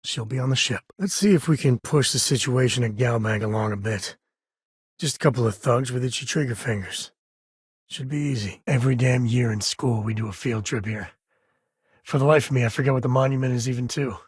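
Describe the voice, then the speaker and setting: Video game role: wry frontier spacefarer and father, middle-aged, mid-pitched, slightly rough husky voice, colloquial and easygoing. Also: brisk, no echo, studio quality. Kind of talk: video game character dialogue.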